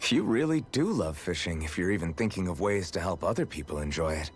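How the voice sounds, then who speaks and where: A man's voice: low voice, animated, fairly quick, faint music behind. An actor playing an earnest, supportive young man in game dialogue, friendly and encouraging. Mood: curious.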